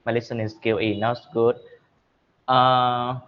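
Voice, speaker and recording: Slow, halting Vietnamese man practicing English. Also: medium pitch, little pitch movement, highs cut off. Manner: halting, repeats phrases while searching for words. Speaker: English learner. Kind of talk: speaking practice in an English lesson.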